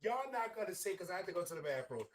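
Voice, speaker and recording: A woman hosting a heated panel argument in a brash, profane, domineering way, with a voice she says is going hoarse. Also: on the low side, very animated, quick pace, a loud crowd. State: losing her voice. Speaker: host.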